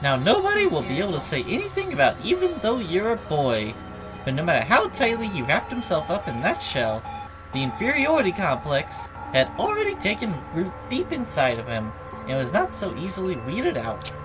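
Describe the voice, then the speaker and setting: A woman's voice: lowish voice, huge pitch swings, music in the background, rough recording. A woman voicing a gleeful, taunting robotic bear villain telling a story theatrically for a video game. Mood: sly storytelling. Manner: theatrical, mocking, drawn-out announcements. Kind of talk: dubbing video game dialogue aloud.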